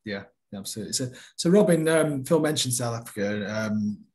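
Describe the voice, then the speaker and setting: A man's voice: mid-range voice, animated, brisk. A man moderating a webinar panel, asking questions with small restarts over a video call.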